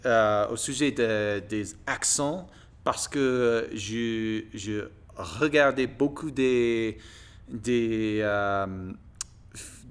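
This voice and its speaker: A man from the United States with an American accent, a native English speaker, talking casually to viewers and haltingly searching for his words in a second language. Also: mid-range voice, clean tone. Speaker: video blogger practising French.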